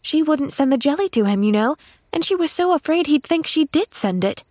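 A woman's voice: high voice, lively intonation, fairly quick, a little mellow, no room sound, pitched above the performer's own voice. A woman voicing a cheerful, earnest, loyal young girl in an audiobook, chattering guilelessly. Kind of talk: audiobook character voice.

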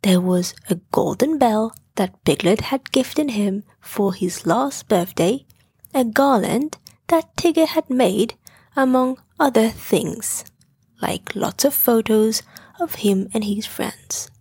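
A woman narrating a bedtime story in a hushed, gentle manner. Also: fairly high pitch, lively intonation, takes it slowly, slightly rough, dry recording, clean studio sound.

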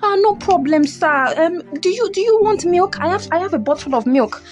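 A deferential, hospitable tent woman with a Nigerian accent , acted by a woman. Her voice is high and lively as she coaxes politely, repeating her phrases in an audio Bible play. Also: normal speed, soft background music. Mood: coaxing, welcoming. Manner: polite, repetitive coaxing.

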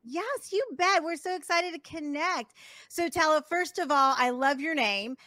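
A woman hosting a professional association podcast, upbeat and effusive, warmly affirming her guest. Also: sits high, a little slow, no room sound. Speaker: podcast host. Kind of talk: enthusiastic podcast interview.

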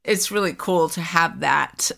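A woman's voice: fairly low pitch, unhurried, gravelly, a bit bright. A woman hosting an interview, enthusiastic and asking open questions about her guest's background and ideas. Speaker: interview host, co-founder. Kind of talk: interview conversation.

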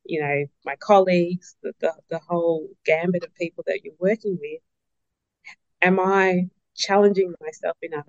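A woman's voice: lowish voice, no room sound, lo-fi sound. A woman answering as a guest on a podcast, reflective, often repeating words as she starts a point and asking herself questions. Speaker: business partner and podcast guest. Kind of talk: interview answers.

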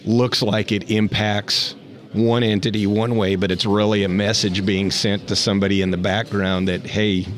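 A man's voice: on the low side, little pitch movement, moderate pace. A man being interviewed on a podcast, talking in a rambling, thoughtful way with frequent restarts. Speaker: interview guest, rancher. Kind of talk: casual interview.

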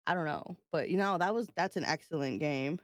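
A woman's voice: low-pitched, fairly quick, no echo. Giggly woman co-host, dry close sound. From US East Coast. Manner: giggly, animated, swears casually. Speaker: podcast co-host. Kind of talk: casual chat.

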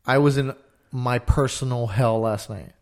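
A man chatting casually, hesitant with fillers and quick bursts. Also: medium pitch. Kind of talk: casual chat.

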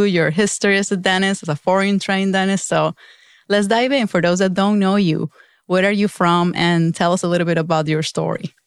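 A woman's voice: on the low side, smooth, no echo, studio quality. A woman hosting an interview podcast, reading a prepared introduction and then welcoming her guest in an earnest, friendly way. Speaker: podcast host.

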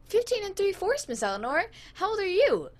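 Woman playing an eager, excitable teenage boy intern. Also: extremely high pitch, a little fast, a little hiss or hum. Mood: starstruck. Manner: gushing, earnest, excitable. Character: eager, innocent fifteen-year-old boy, starstruck radio intern. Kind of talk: scripted audio comedy acting.

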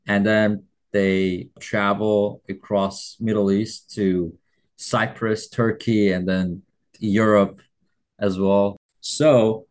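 Male music producer, Farsi first language, unhurried and low, measured explainer. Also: level tone. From Iran. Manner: measured and explanatory, often hedges with "like". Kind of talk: casual interview storytelling.

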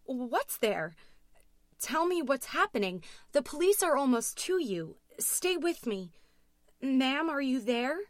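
A female emergency dispatcher acted by a woman reading a story speaks in a raised, controlled voice. She is firm and insistent, holding on to calm as urgency shows, in a dry recording. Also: sits high, takes it slowly, dry recording, pitched above the performer's own voice, heavily acted. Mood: rising urgency.

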